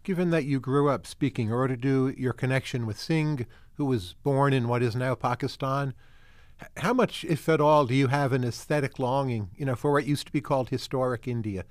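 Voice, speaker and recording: A man's voice: mid-range voice, moderate pace, dry recording. An older man hosting an interview, asking probing questions over a video call while wearing headphones. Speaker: podcast host.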